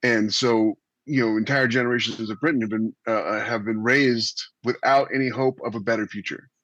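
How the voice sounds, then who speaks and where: A man's voice: mid-range voice, steady tone, normal speed, fairly bright tone, no room sound. An American man co-hosting a podcast, casually explaining and repeating phrases for emphasis, on a dull lo-fi mic. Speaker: podcast co-host. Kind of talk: casual panel discussion.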